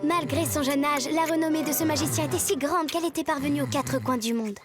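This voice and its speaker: A woman playing a lively, resourceful young schoolgirl in a French cartoon, with expressive delivery. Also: sits high, brisk, music in the background, natural voice. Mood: sweetly storytelling. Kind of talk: French cartoon dub acting.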